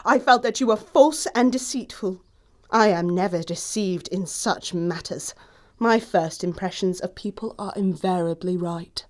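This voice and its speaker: A woman's voice: lowish voice, unhurried, close to an everyday voice. A woman acting a snobbish young English society lady in an audio play comedy, with pompous, precise, disdainful delivery. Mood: indignant. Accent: English upper-class.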